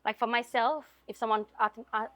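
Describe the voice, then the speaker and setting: A woman from Fiji with a Fijian English accent, hosting a casual interview with a band and asking questions with soft fillers. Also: a little high, fairly even intonation, fairly quick, no room sound. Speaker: podcast host.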